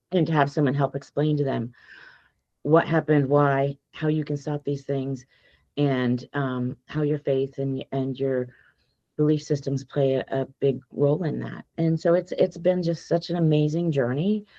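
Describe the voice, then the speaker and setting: A hoarse woman talks earnestly and with emphasis, her voice mellow and dark in tone. The recording is dry and a little dull, with a lo-fi edge. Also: sits low, no room sound. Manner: earnest, emphatic, speaks from faith. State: hoarse from allergies. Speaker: guest author. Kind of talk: podcast interview.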